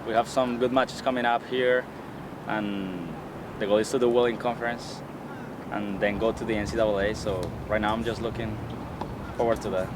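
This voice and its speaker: A young man with a Colombian accent, a college tennis player who answers interview questions in a casual, halting way with many restarts. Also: on the low side, level tone, noisy background. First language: Spanish. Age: young adult.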